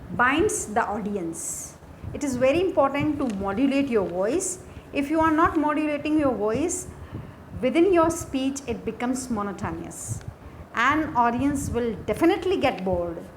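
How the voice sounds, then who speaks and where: A woman with an Indian accent giving a scripted lesson as a lecturer, deliberate and instructional, phrase by phrase. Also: high voice, animated, poor audio. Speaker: English lecturer. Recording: faint background noise.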